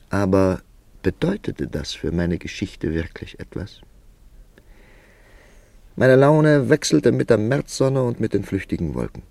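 An actor playing a reflective writer who narrates his own story in a measured, literary radio play style. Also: sits low, vocal fry, darkish tone, no room sound, exaggerated voice.

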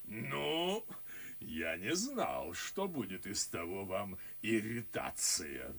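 An actor playing a blustering, pompous army colonel with a raspy, gravelly voice in a verse radio drama. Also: male voice, lowish voice, very animated, takes it slowly, a bit bright, exaggerated voice. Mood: brusque, unconcerned. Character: blustering, self-assured army colonel, blunt and dim.

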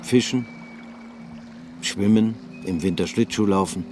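A man narrating a literary radio drama in a measured, unhurried way. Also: lowish voice, background noise.

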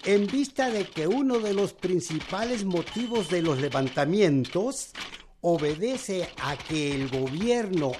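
An actor playing a newspaper voice, formally and deliberately reading out old official news in a radio drama. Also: male voice, high-pitched, background noise.

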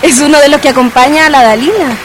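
A woman's voice: high voice, fast, close to an everyday voice. A woman playing a perky, bossy, encouraging young Chilean girl in a radio drama. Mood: teasing, firm. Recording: music under the voice.

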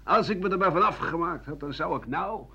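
Sardonic, self-justifying old man for radio drama monologue; husky, rambling delivery, muffled lo-fi recording. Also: fairly high pitch, animated, a little fast, bright, forceful tone, full character voice. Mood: agitated, bitter. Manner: rambling, coarse, sardonic, stammering at times. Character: bitter, self-justifying old French doctor-writer looking back. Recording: dull lo-fi 1980 radio recording.

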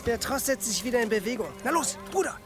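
A curt, plotting highwayman, impatient and menacing, over prominent music in a historical radio play. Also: lively intonation, quick pace. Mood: tense, impatient. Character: scheming, impatient male ambusher plotting with his brother. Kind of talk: German radio play acting. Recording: music under the voice.

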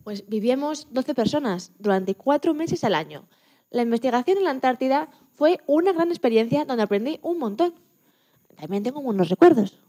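Woman playing a high-voiced, chatty Spanish scientist. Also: normal speed. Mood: earnest. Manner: expressive, chatty, self-correcting. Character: chatty, proud, enthusiastic woman Antarctic scientist. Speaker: interview guest.

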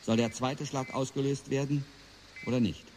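A man acting in a radio play as a grave war reporter, solemn and measured, as if live on air. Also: mid-range voice, steady tone, a little mellow, slight background noise, lightly acted. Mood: grave, tense. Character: grave male reporter inside a war command bunker.

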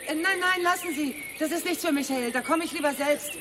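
Radio play casting: woman playing flustered protective mum, very high, loud noise around her. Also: a little fast, big-room sound, lightly acted. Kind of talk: radio drama dialogue.

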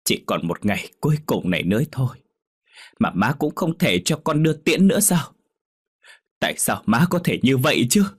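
A man's voice: mid-range voice, big pitch swings, normal speed, breathy edge, pitched above the performer's own voice. A man voicing a heartbroken young man who cries out in a strained, raised voice. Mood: anguished.

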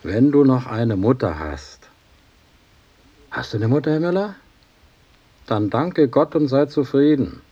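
An actor playing a chatty, needling jail trusty in a radio play, coaxing and familiar, with mock tenderness. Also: male voice, medium pitch, expressive, unhurried, pauses often, warm tone, husky, highs cut off. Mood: mock-tender, needling. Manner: coaxing, familiar, talks on unanswered, rhetorical questions. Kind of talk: German radio play acting.

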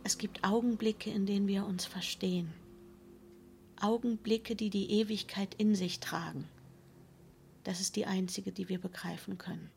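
An actress playing a calm, thoughtful wife in a modern radio play, quiet and intimate, often near a whisper. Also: female voice, medium pitch, normal speed, gravelly, a little hiss or hum, natural voice. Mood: tender, solemn.

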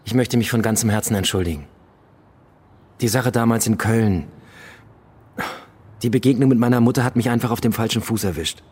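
An actor playing a contrite, impulsive police detective, earnest and halting, in a German radio drama. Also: male voice, fairly low pitch, darkish tone, slightly rough. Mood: apologetic.

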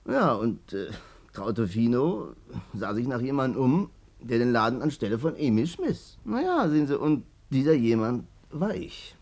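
An actor playing a smooth-talking, boastful ladies' man in a 1950s German radio play. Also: mid-range voice. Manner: colloquial, insinuating, emphatic storyteller.

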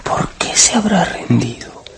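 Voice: a little slow, in a whisper, close to an everyday voice. An actor voicing a naive, fearful young male wolf cub in a radio drama. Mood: frightened, confused. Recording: music under the voice.

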